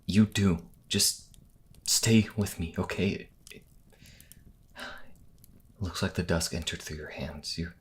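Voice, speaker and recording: A man performs a young priest healer from a fantasy audio drama in a deep voice. He is earnest, anguished and determined, hesitating and stammering, with faint noise behind him. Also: slow pace, long pauses. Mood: anguished concern. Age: young adult.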